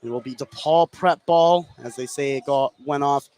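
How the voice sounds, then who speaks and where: A man calling live radio basketball play-by-play from courtside in a high school gym. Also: moderate pace, darkish tone. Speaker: play-by-play sports commentator. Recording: clearly noisy gym ambience. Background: clear gym noise.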